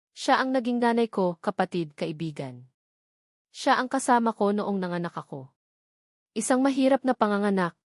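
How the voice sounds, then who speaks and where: A woman's voice: medium pitch, a little mellow, no echo, clean studio sound. A woman with a Filipino accent reads a scripted first-person dramatic story in short, earnest, sentimental phrases. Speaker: story narrator.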